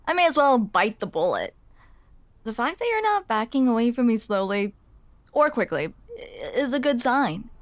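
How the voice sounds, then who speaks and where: Casting: actress as young female barista, grumpy yet shyly smitten, higher-pitched, hesitant, self-deprecating, close dry ASMR roleplay. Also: sing-song, moderate pace, no room sound. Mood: shy, vulnerable. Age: young adult. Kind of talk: ASMR roleplay, intimate scripted monologue to the listener.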